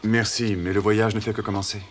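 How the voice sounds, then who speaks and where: A man playing a calm, confident male explorer and ship's admiral in a cartoon, speaking in a measured, assured way. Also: fairly low pitch, slight background noise, lightly acted. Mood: composed, courteous. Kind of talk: French animated history series dubbing, partly narrated. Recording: music behind.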